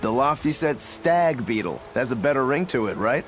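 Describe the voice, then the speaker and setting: Um ator interpretando um espadachim descontraído e alegre, de fala franca e brincalhona. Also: male voice, mid-range voice, lively intonation, moderate pace, soft background music, natural voice. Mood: casual. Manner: casual, blunt, playful. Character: laid-back cheerful male swordsman. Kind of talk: game dub acting.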